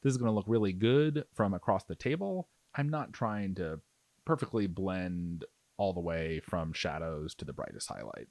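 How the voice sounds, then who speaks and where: A man's voice: low-pitched, animated, moderate pace, vocal fry, darkish tone, dry recording. A man giving a casual hobby tutorial as an instructor, explaining things in an easygoing, reassuring way. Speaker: miniature painting instructor.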